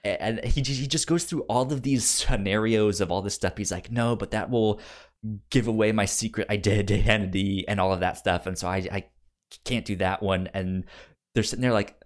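A man co-hosting a podcast in casual movie debate, halting and often stuttering on repeated syllables. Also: on the low side. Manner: halting, circling delivery. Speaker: podcast co-host.

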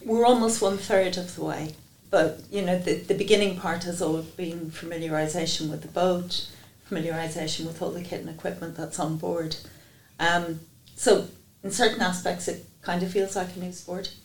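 Flat-toned woman in an echoey room. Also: on the low side, normal speed. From Jersey. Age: 50s. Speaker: guest. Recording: echoey room with faint noise.

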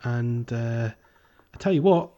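A man's voice: medium pitch, expressive, slow pace, dark tone. Chatty man, slightly dull lo-fi recording. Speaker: podcast co-host. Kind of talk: casual video game podcast chat.

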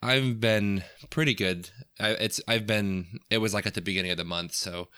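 A man's voice: on the low side, steady tone, normal speed, sharp tone, smooth, dry recording, clean studio sound. A man in his late twenties chatting casually in an easygoing, informal way. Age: late 20s. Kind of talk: casual chat.

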